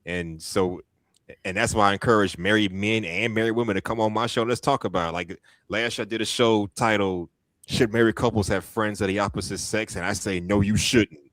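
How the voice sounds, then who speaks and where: A man's voice: on the low side, steady tone, fairly quick, fairly bright tone, no room sound. A man chatting on a live stream as a fellow streamer, easygoing and laid-back. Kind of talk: casual chat on a live stream.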